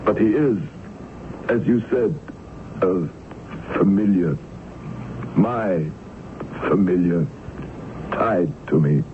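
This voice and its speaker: An actor playing a desperate, haunted sea captain in an old-time radio drama, with an anguished, halting, theatrical delivery. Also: male voice, sits low. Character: haunted, desperate male sea captain fearing a supernatural pursuer. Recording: noisy, muffled vintage radio recording.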